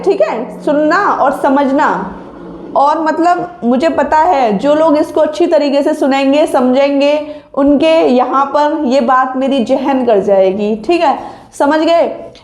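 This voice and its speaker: A woman's voice: sits high, takes it slowly, darkish tone, slight background noise. A young woman giving informal exam advice to viewers, explaining like a coach and often checking that they follow. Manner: didactic, frequent checking tag questions. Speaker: exam-preparation coach and video host. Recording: echoey untreated room.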